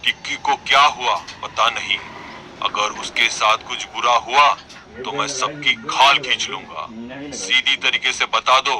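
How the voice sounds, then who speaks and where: A man voicing a proud, commanding lion king and father in an animated moral story, with noise behind him. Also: mid-range voice, a little slow, lightly acted. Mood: worried threatening. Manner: commanding, stern, threatening. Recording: noisy background behind voice.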